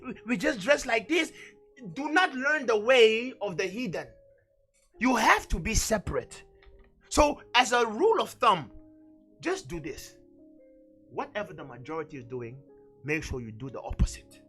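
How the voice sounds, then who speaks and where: The man you'd hear on a fiery online Bible show, pressing each point home in a high, forceful voice. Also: big pitch swings, moderate pace, long pauses, slightly rough, faint music behind. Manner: emphatic, exhorting, repeats phrases for effect. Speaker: preacher and teacher on a religious show. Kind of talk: fervent Bible teaching.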